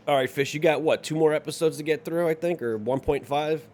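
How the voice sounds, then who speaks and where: A man's voice: on the high side, a little fast, darkish tone. A man hosting a livestream, chatting casually with co-hosts and viewers in a crude, sweary, bantering manner. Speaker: livestream host.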